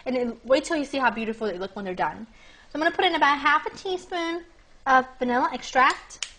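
A young woman hosting a cooking demonstration in her home kitchen, chatting brightly and speaking straight to her viewers. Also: high voice, a little fast. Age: young adult. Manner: bubbly, chatty, addresses viewers directly. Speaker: cooking show host. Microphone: lapel mic.

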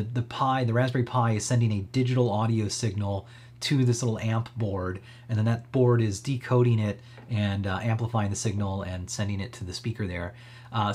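A man in his thirties hosting a live stream, talking through a casual tech demo in an easygoing, rambling way, thinking aloud. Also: medium pitch. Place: small cluttered indoor room.

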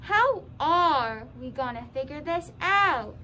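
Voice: high-pitched, lively intonation, slow pace, sharp tone, muffled recording, slight background noise, full character voice. A young teen performer playing a confident puppet with daft logic in a comedy skit. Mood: earnest. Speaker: student puppeteer in a school drama show.

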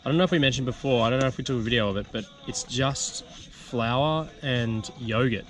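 A man's voice: mid-range voice, brisk, a bit bright, faint music behind. A man in his twenties chatting casually in a laid-back way for a travel vlog, mostly outdoors. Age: 20s. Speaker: travel vlogger. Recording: dull lo-fi camera sound.

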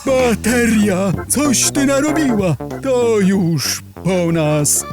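A man's voice: sits high, extremely slow, over loud music. A man voicing a nervous, easily frightened talking cardboard box in a children's audio drama. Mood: panicky. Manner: nervous, exclaiming.

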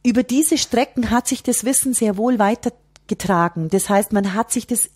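A woman's voice: a little high, normal speed, a little mellow, slightly rough. Middle-aged woman. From Bavaria, Germany. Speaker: podcast guest, herbalist. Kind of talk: conversational interview, explaining from experience.

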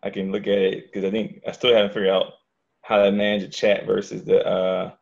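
A man with a slightly low, gravelly voice casually leads a small discussion group. He is on a video call that sounds a little dull. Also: little pitch movement, a little fast. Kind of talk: casual chat leading a discussion.

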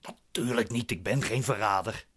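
A man's voice: fairly low pitch, normal speed, a bit bright, a little hiss or hum, close to an everyday voice. An actor playing a suspicious, impatient man with a curt, questioning manner in a radio drama. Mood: inquisitive. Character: suspicious, impatient, commanding male defendant.

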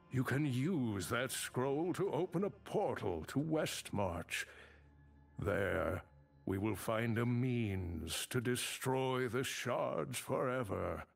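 An actor playing a wise, grave old scholar in a fantasy game, measured and kindly. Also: male voice, mid-range voice, vocal fry, exaggerated voice. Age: older.

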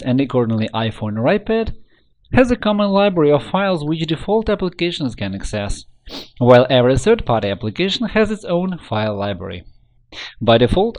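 A man reading a scripted software tutorial in an instructional, step-by-step manner. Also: on the high side.

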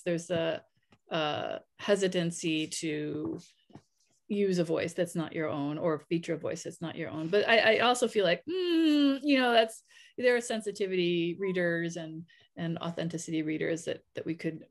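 Halting woman author on a video call. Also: fairly low pitch. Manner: thoughtful, halting with many fillers. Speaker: panelist author. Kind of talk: casual panel discussion. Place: home room, video call. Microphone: webcam on video call.

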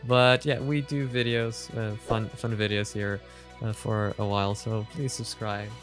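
A man in his twenties giving casual, animated reaction commentary with halting starts, close on a studio mic. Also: fairly low pitch, faint music behind. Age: 20s. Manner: halting, animated, laughs easily. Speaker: host of a reaction video series. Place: small room at home, indoors. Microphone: close boom-arm studio mic.

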